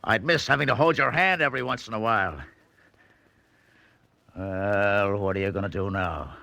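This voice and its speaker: A blunt gruff cop, deep punchy voice, short answers, old 40s radio drama. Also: male voice, normal speed, pushed and bright, no echo. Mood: awkward concern. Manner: terse, blunt. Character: gruff, amused police lieutenant, grudgingly fond. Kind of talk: 1940s radio drama acting.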